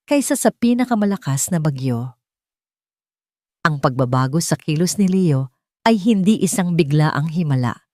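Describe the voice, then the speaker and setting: A woman's voice: low voice, mellow, dry recording, studio quality. A woman with a Filipino accent reading a scripted, dramatic story as an audiobook narrator.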